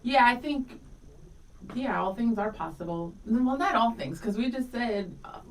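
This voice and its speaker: A woman's voice: a little high, lively intonation, a little slow, distant mic, slight background noise, low-quality recording. A middle-aged woman co-hosting a morning radio show in a casual, candid and reflective conversational style.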